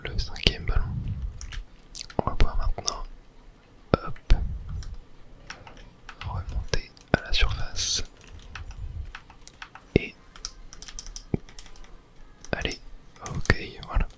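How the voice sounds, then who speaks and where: Casting: ASMR gaming host, breathy close-up whisper throughout, casual unhurried running commentary. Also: low-quality recording. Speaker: host playing a video game. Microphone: close microphone.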